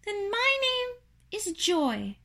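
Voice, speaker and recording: A woman's voice: very high-pitched, wildly swooping pitch, extremely slow, sharp tone, voice pushed up, full character voice. A woman voicing tiny, friendly young female spiders with small, thin voices in a children's storybook. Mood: eager. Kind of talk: children's storybook character reading.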